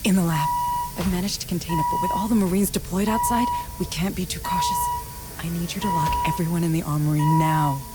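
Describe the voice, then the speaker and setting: A woman's voice: fairly low pitch, huge pitch swings, fast, over loud music. An actress playing a tense, resourceful female officer hunted on a sci-fi station, urgent and commanding, in a horror game.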